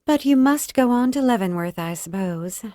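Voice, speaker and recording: A woman's voice: mid-range voice, expressive, mellow, no room sound, clean studio sound, pitched above the performer's own voice, natural voice. Woman playing a poised, genteel young society lady. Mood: polite. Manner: deliberate, genteel.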